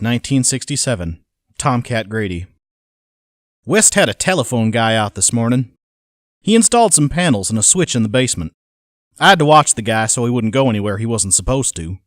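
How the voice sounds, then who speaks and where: A man's voice: mid-range voice, a bit bright, no echo, studio quality. A man playing an uneasy, working-class groundskeeper who gives a colloquial, plainspoken journal account in an audio drama.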